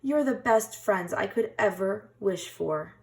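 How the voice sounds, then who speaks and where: A woman voicing a kindly, reassuring male badger in a gentle way for a children's storybook. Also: medium pitch, lively intonation, slow, creaky. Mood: pleased. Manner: gentle, comforting. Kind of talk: children's storybook read-aloud.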